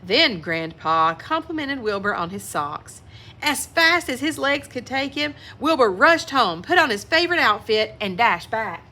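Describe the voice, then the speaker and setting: Animated, bright-voiced woman reading aloud. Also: on the high side, unhurried. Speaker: children's story reader. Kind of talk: expressive picture-book read-aloud with asides to young listeners.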